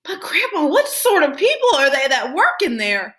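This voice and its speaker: A woman's voice: high voice, wildly swooping pitch, moderate pace, fairly bright tone, voice pushed up, heavily acted. A woman voicing an eager, curious young boy in a storybook, asking in an urgent, wide-eyed way.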